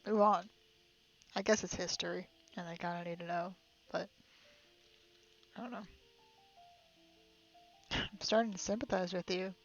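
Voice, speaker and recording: A woman's voice: on the low side, steady tone, a little slow, pauses often. A woman game streamer giving casual live commentary in an informal, slangy, sweary manner. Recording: dull lo-fi stream, game music behind.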